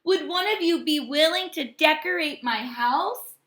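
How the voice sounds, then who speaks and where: A woman plays a gentle storybook hermit crab, earnest and polite, with a high, bright voice. The delivery is expressive and emphatic, in an echoey room. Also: unhurried. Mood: admiring, polite. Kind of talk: children's storybook read-aloud.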